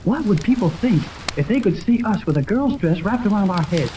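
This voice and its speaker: An actor playing an eager, skeptical young sidekick in a 1930s radio adventure serial, slangy and full of questions. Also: male voice, high voice, expressive, fairly quick, mellow. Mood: skeptical, puzzled. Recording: noisy old 1930s radio, rain-like hiss.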